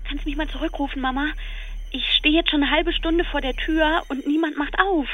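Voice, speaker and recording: An actress playing a worried young daughter, talking in an anxious, colloquial way as if leaving a voicemail in a radio drama. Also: female voice, high voice, steady tone, a little fast, dull audio, close to an everyday voice.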